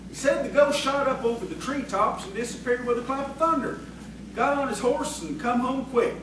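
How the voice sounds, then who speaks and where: A man acts a village blacksmith in a radio play, telling tales in a folksy, rustic way. His voice is high, heard from a distance in a very echoey, noisy room. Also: normal speed. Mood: gripping storytelling. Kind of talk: audio theatre acting. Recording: echoey room, distant mic, clear noise.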